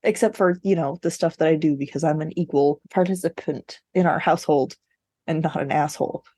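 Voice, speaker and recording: A woman's voice: low voice, fairly quick, dark tone, no echo. A woman co-hosting a podcast in casual chat, with a wry, self-mocking, joking way of talking. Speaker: podcast co-host.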